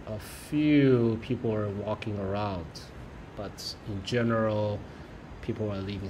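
A man narrating a casual travel vlog as he walks through streets, a mall and a subway station, halting with many fillers and self-corrections. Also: lowish voice, a little hiss or hum. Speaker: travel vlogger.

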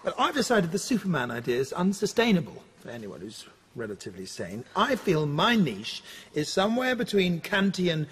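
An actor playing a wry, eccentric philosophy teacher in a radio drama, with a theatrical, lecturing manner. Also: male voice, a little high, huge pitch swings, normal speed, a bit bright, husky, exaggerated voice.

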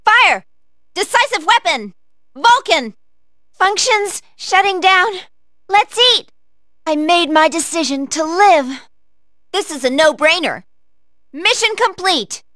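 A woman's voice: very high-pitched, animated, slow pace, long pauses, sharp tone, dry recording, clean studio sound, full character voice. A woman playing an earnest, polite young female android fighter in a video game, calling out clipped, formal battle commands. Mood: composed. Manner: clipped, formal machine-like status callouts.

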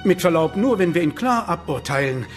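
Actor as a high-voiced, formal imperial aide. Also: male voice, animated, brisk, close to an everyday voice. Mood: coolly insistent. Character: deferential but hard-line 19th-century Habsburg government aide. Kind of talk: documentary drama acting. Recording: loud music over dramatised scene.

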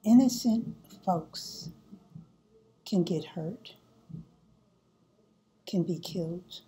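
Older woman, home sermon on webcam, low voice, unhurried with many pauses. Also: warm tone, poor audio. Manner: slow and deliberate, pausing often with fillers. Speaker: church speaker. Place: home room, indoors. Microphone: webcam at close range.

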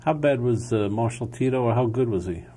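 A man's voice: mid-range voice, a little fast, dark tone. A male talk show host asking short, direct questions in a television studio interview.

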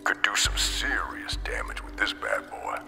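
Cocky soldier dude, slangy wisecracks, super swoopy delivery, big reverb, bit of music under. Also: male voice, fairly low pitch, wildly swooping pitch, a little slow, creaky, bright, forceful tone, whispered, exaggerated voice. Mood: flippant. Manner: mocking, slangy banter. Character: cocky, teasing soldier, a wisecracking demolitions man. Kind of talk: audio drama acting.

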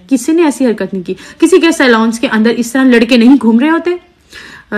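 A young woman, talking earnestly and indignantly to her followers into a phone held at arm's length indoors. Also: fairly high pitch. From Pakistan. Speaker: social media creator. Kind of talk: selfie video complaint to followers.

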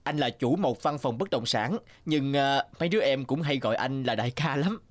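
A man voicing a burly, easygoing young boss with a gangster air in an animated drama, casual and self-assured. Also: mid-range voice, normal speed, no room sound, close to an everyday voice. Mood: genial. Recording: studio voice over background music.

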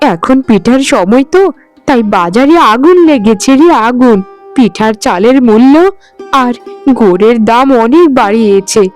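A woman's voice: a little high, a little mellow, lightly acted. A woman playing a poor, careworn, tender mother in a cartoon, speaking in a plaintive, sighing, affectionate way. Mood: sorrowful. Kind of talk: animated Bengali folk-tale cartoon acting.

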